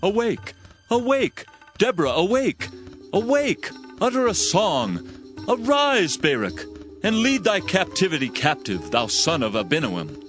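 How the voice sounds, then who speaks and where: A man's voice: high voice, big pitch swings, a little slow, a bit bright, soft background music, full character voice. A man playing a triumphant Israelite war leader, declaiming praise exultantly in a dramatized Bible reading.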